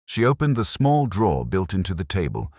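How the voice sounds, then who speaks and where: A man narrating a scripted detective mystery in the first person, in a measured, brooding, literary manner. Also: low-pitched, wildly swooping pitch, a little mellow, dry recording, clean studio sound. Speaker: narrator playing a melancholy detective.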